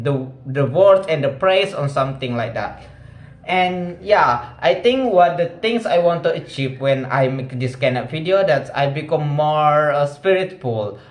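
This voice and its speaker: A man's voice: mid-range voice, roomy sound. A young man with an Indonesian accent, a non-native English speaker talking casually and hesitantly, with many fillers and restarts as he practices speaking English. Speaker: vlogger.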